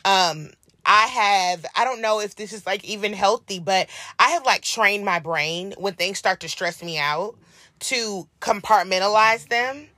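A hoarse woman co-hosting a casual podcast, talking earnestly and encouragingly with drawn-out emphasis. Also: mid-range voice, sharp tone, no room sound. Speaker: podcast co-host.

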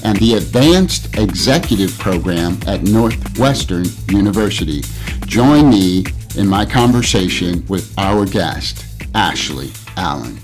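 A man hosting an interview show, talking in an energetic, motivational way. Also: low-pitched, unhurried, soft background music. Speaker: podcast host.

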